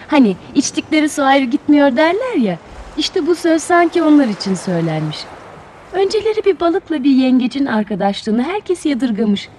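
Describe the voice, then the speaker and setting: A woman tells a children's fairy tale in a high voice. Her delivery is expressive and very melodic, with faint noise behind her. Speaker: storyteller.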